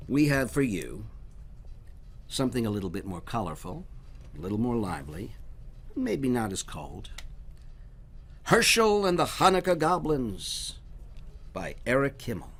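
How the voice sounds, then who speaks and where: A man reading storybooks aloud for children in a dramatic, expressive way, at times dropping to a hush. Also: medium pitch, a little slow, pauses often, a little hiss or hum. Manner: dramatic, dropping to hushed near-whispers. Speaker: storybook reader.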